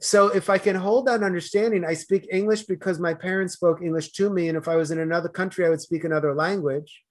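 An earnest, emphatic man with a Boston accent speaks as a guest in a relaxed interview. His voice sits somewhat high for a man. When a point excites him, he turns loud and forceful. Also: fast, few pauses. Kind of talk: casual interview chat.

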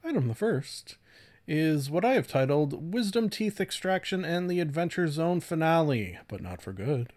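A man's voice: a little high, sing-song, normal speed, darkish tone. Man in his thirties, casual rambling podcast host. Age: 30s. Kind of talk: casual solo podcast talk.

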